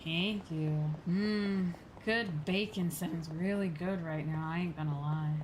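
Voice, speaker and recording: Low-pitched woman streaming, some background noise. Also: steady tone, slow. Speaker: streamer playing a video game. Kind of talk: casual livestream chat, reading game dialogue aloud.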